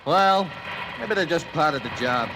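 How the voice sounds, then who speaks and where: An actor playing a friendly but wary tunnel labourer in a 1940s radio drama, with folksy, ungrammatical working-class speech. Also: male voice, a little high, expressive, a little slow. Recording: old radio recording, loud engine noise.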